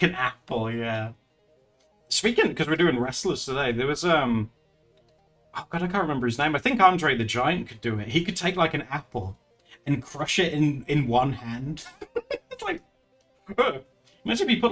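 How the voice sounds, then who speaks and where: Giggly, lively-voiced male streamer. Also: fairly high pitch. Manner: chatty and giggly, with frequent laughs and restarts. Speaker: livestreamer. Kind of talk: casual livestream chat with viewers while drawing.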